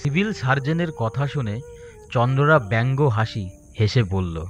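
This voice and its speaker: A man's voice: medium pitch, expressive, a little slow. Male storyteller over faint music. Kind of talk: scripted dramatic story narration.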